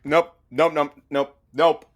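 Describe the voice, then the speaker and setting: An actor playing a superstitious radio show colleague in an audio drama, talking in a casual, insistent way. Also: male voice, a little high, fairly even intonation, slow pace, dry recording, clean studio sound. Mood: alarmed, insistent.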